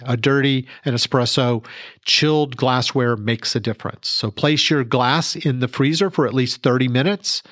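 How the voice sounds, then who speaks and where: A man's voice: medium pitch, no echo, studio quality. A man reading a scripted instructional segment as a podcast reporter.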